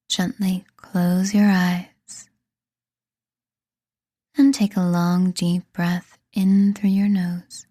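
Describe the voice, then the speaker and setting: A woman reading a scripted guided meditation in a soothing, deliberate manner, leaving pauses between phrases. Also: lowish voice, warm tone, dry recording, clean studio sound. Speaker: meditation guide and podcast host.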